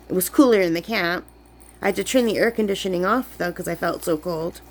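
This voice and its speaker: Woman vlogger, under the weather, rambling chat, gravelly fry. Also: on the low side, a little hiss or hum. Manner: chatty, rambling, many fillers. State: sick, voice lower than usual. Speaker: crafting vlogger. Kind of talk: casual chat while crafting. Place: camp cabin indoors.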